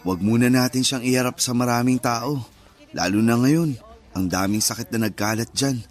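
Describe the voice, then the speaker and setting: Guy acting as caring young filipino husband, radio drama, normal male pitch, patient, bit of music behind. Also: moderate pace, close to an everyday voice. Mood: calm, concerned. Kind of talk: radio-style romance drama acting in dialogue. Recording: music under the voice.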